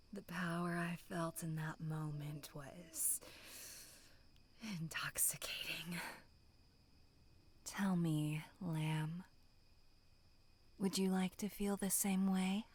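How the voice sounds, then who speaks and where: Female performer as low, seductive monster woman for horror ASMR. Hushed, vengeful, extremely slow delivery. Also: fairly even intonation, a little hiss or hum. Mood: relishing, seductive. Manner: slow, hushed, half-whispered, savoring taunts. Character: sinister, seductive female monster in human skin, vengeful and amused.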